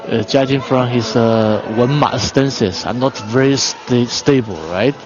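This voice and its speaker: Halting male expert commentator, dull broadcast sound. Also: mid-range voice. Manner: halting, explanatory non-native English. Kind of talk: technical martial arts commentary. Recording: muffled broadcast audio, music behind. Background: music in a venue.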